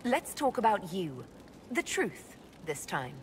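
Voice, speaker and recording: An actress playing a composed, authoritative leader in a fantasy video game, speaking in a formal, firm and measured way. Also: female voice, mid-range voice, very animated, slight background noise. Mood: probing. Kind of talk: dramatic video game acting.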